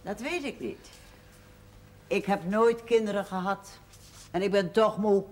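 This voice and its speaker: An actress playing a weary, blunt older aunt with dry humour, speaking tersely in a television drama. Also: female voice, medium pitch, a little slow, a little mellow, natural voice.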